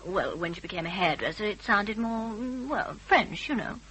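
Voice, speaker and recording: A woman's voice: medium pitch, animated, moderate pace, bright, forceful tone, husky, rough recording. A woman playing a breezy British detective's assistant in a radio drama, chatty and flippant, with wry theatrical exaggeration. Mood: gossipy, triumphant.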